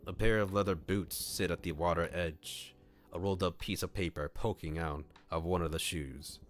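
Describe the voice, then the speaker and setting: A man streaming an expressive, playful read-aloud of a visual novel, narrating and acting out the dialogue. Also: deep voice, normal speed. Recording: music behind the voice. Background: game music.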